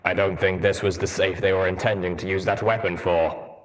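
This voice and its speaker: A man puts on a stoic armoured stallion ranger from a fantasy audiobook. He is low-voiced, measured and matter-of-fact. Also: little pitch movement, close to an everyday voice. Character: Steelhooves, stoic, analytical male armoured pony ranger.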